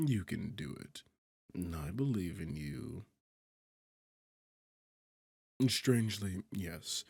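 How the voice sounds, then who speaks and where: A man voicing a smug, sarcastic demon king in an ASMR roleplay, with a husky, gravelly low voice and a mocking, theatrical delivery. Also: darkish tone, dry recording, heavily acted. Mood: goading. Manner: mocking, teasing, condescending, theatrical. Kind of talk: ASMR roleplay audio drama.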